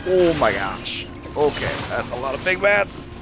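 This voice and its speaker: Male co-op gamer, jokey casual chat, highly animated intonation, slow pace, audible music. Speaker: gamer playing co-op.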